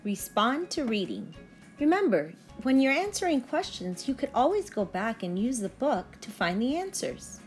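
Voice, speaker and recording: A woman's voice: a little high, sing-song, moderate pace, soft background music. A woman in her thirties, a teacher reading aloud and talking to young pupils in simple, instructive phrasing. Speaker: early-grade teacher. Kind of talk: children's read-aloud and lesson talk to pupils.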